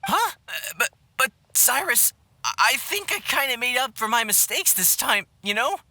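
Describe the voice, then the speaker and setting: An actor playing an earnest, excitable, good-natured young male adventurer in a video game cutscene. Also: very high-pitched, moderate pace, exaggerated voice. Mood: flustered.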